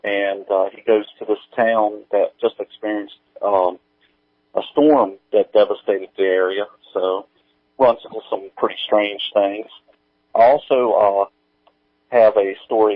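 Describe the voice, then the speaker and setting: Guy guest on a tinny phone-like line, lowish voice, talks casual and halting. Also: no echo. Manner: halting, hesitant, informal. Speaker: interview guest, writer. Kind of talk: casual interview chat.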